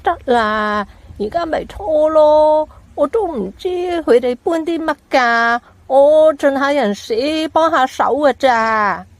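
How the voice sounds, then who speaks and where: An offhand delivery worker in a radio drama, speaking with a Hong Kong accent. The voice is high and slow, shrugging things off in a dry, close recording. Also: female voice, animated, no echo, exaggerated voice. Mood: offhand, defensive. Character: offhand delivery worker, just doing the job. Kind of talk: Cantonese radio drama acting.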